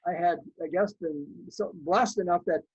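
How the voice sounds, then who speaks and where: A man's voice: sits high, moderate pace, slightly rough, dry recording, lo-fi sound. A middle-aged man from Canada whose first language is German, a guest telling reflective stories in an interview. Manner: reflective, anecdotal, with frequent restarts. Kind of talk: conversational interview storytelling.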